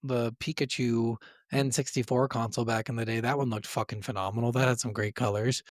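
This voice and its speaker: Male podcast host, mid-pitched, blunt and opinionated, swears freely, casual gaming chat, dry recording. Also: steady tone, fairly quick, no room sound. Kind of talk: casual chat about video games.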